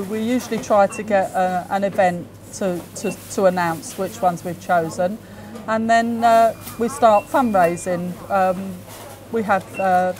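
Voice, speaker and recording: A woman's voice: mid-range voice, a little mellow, a little hiss or hum. Woman, cheerful, uhs and restarts, light shop background. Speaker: community champion at a shop. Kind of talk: on-site interview. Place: convenience store, indoors.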